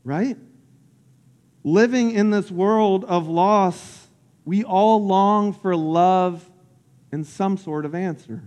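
A man's voice: high-pitched, takes it slowly, a little mellow, long reverb tail, muffled recording. A man from Central Florida, a pastor giving an earnest, personal sermon that builds in intensity.

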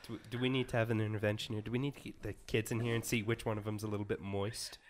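A man's voice: on the low side, little pitch movement, rapid-fire. A young man co-hosting a crude podcast, making dry, rambling asides full of hesitations. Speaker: podcast co-host. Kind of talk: crude casual group banter.

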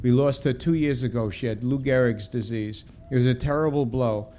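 A man's voice: mid-range voice, fairly quick, dark tone, slight background noise, lightly acted. A man voicing a middle-aged woman caller, a tender and sincere bereaved mother. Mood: sorrowful.

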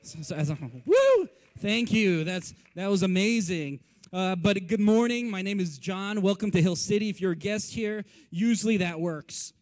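Pastor guy born in korea, rambly and joking, kinda high voice, lots of uhs. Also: lively intonation, a little mellow. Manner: rambling, self-deprecating, halting fillers. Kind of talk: casual sermon opening. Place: church sanctuary.

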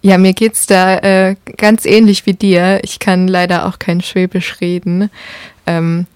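A young woman from Karlsruhe with a slight Baden accent, co-hosting a casual podcast and pausing often on fillers. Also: fairly low pitch, flat delivery, darkish tone, clear, resonant tone, no room sound. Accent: slight Baden German. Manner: hesitant, fills with ähm. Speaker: podcast co-host.

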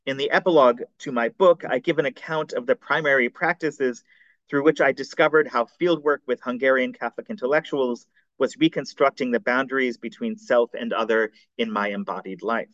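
A man's voice: mid-range voice, fairly bright tone, no room sound. Man reading an academic paper. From United States. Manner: measured, formal reading with occasional slips. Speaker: scholar presenting a seminar paper. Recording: dull, dry recording.

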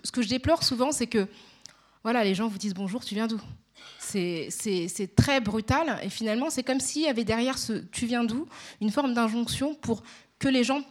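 A young woman with a pronounced Parisian accent, answering interview questions in a measured, articulate way with frequent hesitations. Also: medium pitch. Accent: Parisian French. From Paris, France. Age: young adult.

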